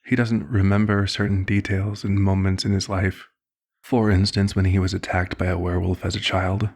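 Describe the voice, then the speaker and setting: A man performs an elder male vampire for an ASMR roleplay. The voice is very low, with a creaky, raspy grain. He speaks in polite, formal phrases, like a concerned doctor and mentor who expects to be listened to. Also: flat delivery. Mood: measured, clinical concern. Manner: formal, measured, polite wording. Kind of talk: ASMR roleplay, scripted one-sided dialogue.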